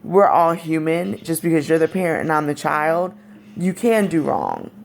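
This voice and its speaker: A young woman with a raspy, deep voice, speaking candidly in a personal vlog. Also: sing-song, moderate pace, a little hiss or hum. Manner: candid, frank and conversational. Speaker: vlogger. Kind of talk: personal storytelling to camera.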